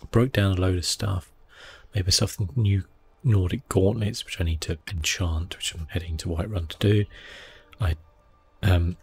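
Low, gravelly man, hushed gaming commentary. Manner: understated, hesitant, thinking aloud. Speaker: gaming video host. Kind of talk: relaxed ASMR game commentary.

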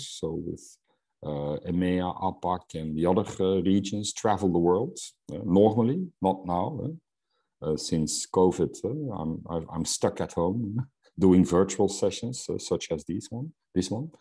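A man's voice: deep voice, a little slow, mellow, breathy edge. A middle-aged man from Belgium whose first language is Dutch, presenting in an online webinar with frequent fillers and restarts. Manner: hesitant, many fillers and restarts. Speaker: Salesforce instructor.

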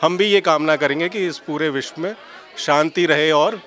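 Forties guy in a newsroom, slightly high voice, calm fervent talk. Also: a faint crowd. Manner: measured, fervent, a little formal. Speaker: newsroom colleague interviewed. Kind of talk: devotional vox pop. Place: indoor newsroom. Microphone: handheld mic held by reporter.

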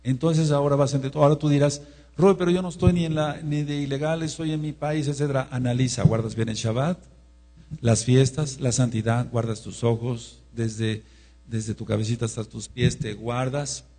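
A man preaching a sermon in an emphatic manner, rising to loud exclamations, with a husky voice. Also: medium pitch, fairly even intonation, moderate pace. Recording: mains hum.

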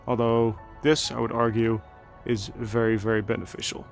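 A man talks casually and eagerly as he tours his garden outdoors, pausing on fillers as he goes. His voice sits at an ordinary middle pitch. Faint music plays under the recording. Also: gravelly. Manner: relaxed, enthusiastic, chatty with fillers. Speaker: gardening channel host. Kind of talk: casual garden tour narration.

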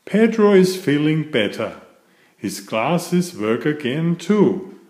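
Slow, very expressive man reading to children. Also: on the high side, reverberant. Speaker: children's book reader. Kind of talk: expressive read-aloud storytelling for young children.

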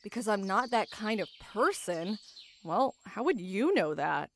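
A woman's voice: mid-range voice, very animated, unhurried, slight background noise. A woman playing a tough, proud female knight, guarded about love, speaking earnestly and formally in an anime ASMR roleplay. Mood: indignant.

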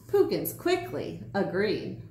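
A woman's voice: mid-range voice, wildly swooping pitch, slow pace, darkish tone, echoey room, slight background noise. A woman in her forties, a teacher, reading a children's picture book aloud in a small room.

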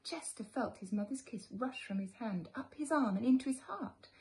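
A British woman in her thirties or forties, a teacher gently reading a children's storybook aloud at home. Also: on the high side, lively intonation, normal speed. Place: living room at home.

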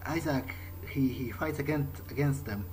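Male gamer in character, mid-pitched, halting and stammering, improvised lines over clear game ambience. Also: a little mellow, slight background noise, rough recording. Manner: hesitant, stammering, repeats words. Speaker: gaming video host playing in character. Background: video game ambience.

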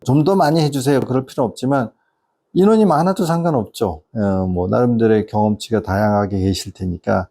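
Middle-aged male consultant-host, soft mellow tone with noticeable fry, mid pitch, earnest informal explainer style. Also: brisk. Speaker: educational consultant and channel host. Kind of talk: informal explanatory talk addressed to parents.

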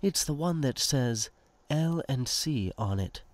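A man's voice: mid-range voice, animated, takes it slowly. A man narrating a radio play in the present tense with a conversational storytelling manner.

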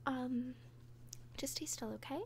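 A woman playing an obsessive, falsely gentle young caretaker in an ASMR roleplay, speaking softly and breathily with tender, halting hesitation. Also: high-pitched, a little hiss or hum. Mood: gentle, nervous. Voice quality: soft, breathy whisper. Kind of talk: whispered ASMR roleplay, personal attention.

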